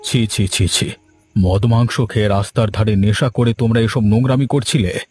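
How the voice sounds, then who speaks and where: A man plays a respected, sensible village gentleman in a cartoon. His voice is lowish and very mellow, measured and admonishing, with music underneath. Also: steady tone, close to an everyday voice. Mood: shocked disapproval. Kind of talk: Bengali horror cartoon dubbing.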